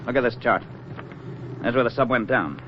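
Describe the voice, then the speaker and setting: An actor playing a bitter, fatalistic former submarine skipper turned diver in a 1940s radio drama, curt and sardonic. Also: male voice, medium pitch, moderate pace, close to an everyday voice. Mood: matter-of-fact. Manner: curt, sardonic, hard-boiled. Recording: muffled, noisy 1940s radio recording.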